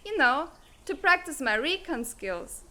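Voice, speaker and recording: A punchy, scheming teenage schoolgirl acted by a woman in a comic drama. Her high, forceful voice takes its time over the words. She is cocky and makes threats with playful relish. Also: big pitch swings, measured pace, heavily acted. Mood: cocky, mischievous. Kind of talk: fan dub comic drama acting.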